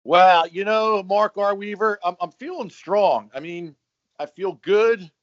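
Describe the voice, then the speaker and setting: Animated middle-aged man telling stories. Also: high-pitched, unhurried, no room sound. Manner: animated storyteller, joking. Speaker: podcast co-host. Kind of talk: casual chat. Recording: dry, slightly dull sound.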